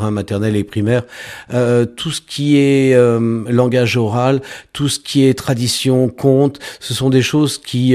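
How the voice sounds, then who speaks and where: A man's voice: medium pitch, warm tone. Hesitant man in his sixties, thoughtful. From France. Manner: hesitant, many "euh" fillers, slow thoughtful pace. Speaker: guest, author and storyteller. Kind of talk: radio interview answers.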